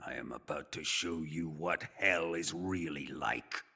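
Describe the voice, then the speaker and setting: Menacing, slow-talking sadistic military interrogator. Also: male voice, a bass voice, creaky, slightly rough, full character voice. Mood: quietly gloating. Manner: menacing, mocking, slow and deliberate, erupting into shouts. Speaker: villain interrogator. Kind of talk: dramatic video game cutscene acting.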